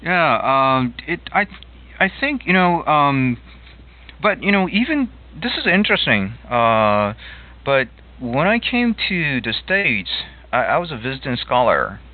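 A middle-aged man from Japan, speaking English as a second language in a relaxed chat, with many fillers and restarts. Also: fairly high pitch, unhurried. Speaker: host. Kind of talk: casual conversation. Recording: phone-like narrow audio line.

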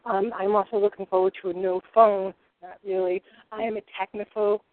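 A woman's voice: mid-range voice, level tone, brisk. A woman in her forties with a Rhode Island accent, a guest cook chatting in a boisterous, rambling way. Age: 40s. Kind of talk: casual chat. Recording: tinny phone-like sound.

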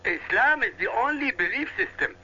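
Guy from iran, arabic first language, radio host, husky and gravelly, keeps interrupting, dull radio sound. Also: very high-pitched, very animated, normal speed, bright, forceful tone. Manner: insistent, interrupting, argumentative. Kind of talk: heated radio debate.

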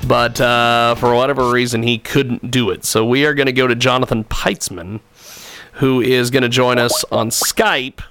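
A man hosting a radio talk show, introducing a guest in a halting, casual way with many restarts. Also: mid-range voice, steady tone, music in the background.

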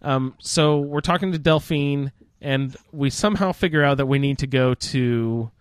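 A man's voice: medium pitch, moderate pace, clear, resonant tone, dry recording. Casual man hosting, dry close sound. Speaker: podcast host. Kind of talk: casual roundtable discussion of a video game.

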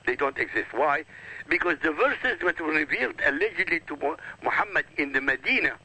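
A man's voice: fairly high pitch, animated, brisk, bright, forceful tone, slightly rough, low-quality recording. A man whose first language is Arabic, arguing insistently on a radio talk show and lecturing with emphatic repetition. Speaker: guest.

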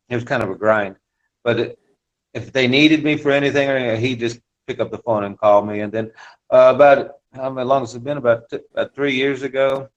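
A man speaking casually as a tour guide being interviewed. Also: mid-range voice. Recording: dull lo-fi audio.

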